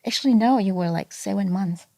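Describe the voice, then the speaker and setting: A woman's voice: mid-range voice, fairly quick, creaky, mellow. A woman from Thailand with a Thai accent, speaking English as a second language, candidly chatting as an interview guest about raising her two kids. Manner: candid, restarts sentences while recalling. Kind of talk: casual interview chat.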